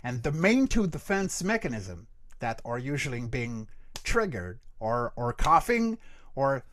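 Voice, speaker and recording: A man speaking conversationally as an interview guest, explaining vocal technique in an animated teaching way and checking in with the listener. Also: mid-range voice, a little slow, slight background noise. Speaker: creature voice actor, interview guest.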